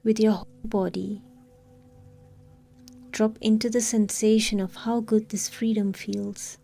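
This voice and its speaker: A woman leading a scripted guided meditation in a calm, soothing, unhurried manner with long pauses. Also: mid-range voice, gravelly, soft background music.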